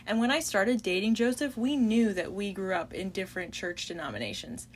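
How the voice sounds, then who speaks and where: A woman co-hosting a faith podcast, speaking in a steady, earnest way as she sums up points and thanks listeners. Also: medium pitch, a little fast, slight background noise. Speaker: podcast co-host. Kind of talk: casual faith chat.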